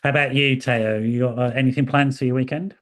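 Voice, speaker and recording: A man's voice: mid-range voice, fairly even intonation, fast talker, fairly bright tone. A man from the hosting an interview, chatty and encouraging. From English Midlands. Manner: chatty and encouraging, many fillers. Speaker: podcast host.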